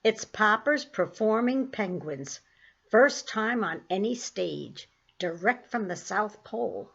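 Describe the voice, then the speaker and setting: A woman's voice: medium pitch, expressive, takes it slowly, creaky, fairly bright tone, slightly rough, highs cut off, full character voice. A woman reading the part of a polite, earnest middle-aged man with a troupe of penguins, in a mild, courteous manner.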